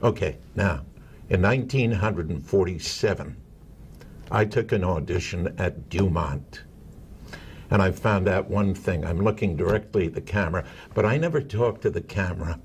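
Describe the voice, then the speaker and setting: An older man with a low, gravelly voice, a longtime broadcaster swapping stories as a guest. He is chatty and quick to joke at himself. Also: a little hiss or hum. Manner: chatty, joking storyteller. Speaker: interview guest, veteran broadcaster. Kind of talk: casual interview chat.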